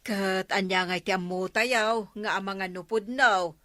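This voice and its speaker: A woman's voice: medium pitch, fairly even intonation, measured pace, sharp tone, no echo, studio quality. An actress playing a skeptical, bossy, older unmarried village councilwoman in a comedy radio drama.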